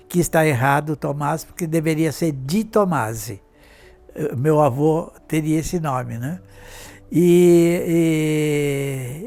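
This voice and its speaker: An elderly man in his eighties, from Brazil, with a notably high voice, recalls his family in a meandering way, restarting sentences and checking in with tag questions. Faint music sits behind him. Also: a little mellow. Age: 80s. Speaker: interviewed artist and fashion designer. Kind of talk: reminiscing in an interview.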